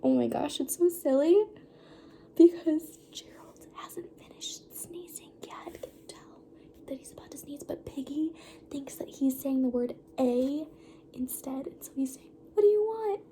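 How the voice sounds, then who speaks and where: A woman's voice: high-pitched, fairly even intonation, measured pace, dark tone, whispered. A woman presenting a picture book read-aloud for children, informally explaining and putting questions to her listeners.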